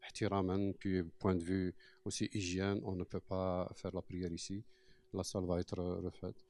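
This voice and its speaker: Community cofounder, earnest, slightly low voice, close dry sound. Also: male voice, level tone, moderate pace, darkish tone, dry recording. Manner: earnest, mixes Arabic phrases into French. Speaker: cofounder of a cultural centre. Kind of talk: earnest testimony. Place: mosque.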